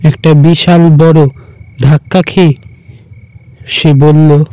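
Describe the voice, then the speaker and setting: A man's voice: fairly high pitch, flat delivery, takes it slowly, dark tone, clear, resonant tone, dry recording, low-quality recording. A man narrating a horror cartoon story in a dramatic style.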